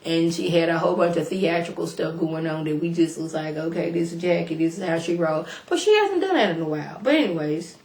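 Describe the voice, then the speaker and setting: A woman casually recapping a reality show episode for her viewers in a chatty, slangy way, a little hoarse from a cold. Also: sits low, a little fast, few pauses. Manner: chatty, animated, slangy, addresses viewers directly. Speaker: YouTube reality TV reviewer. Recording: echoey room.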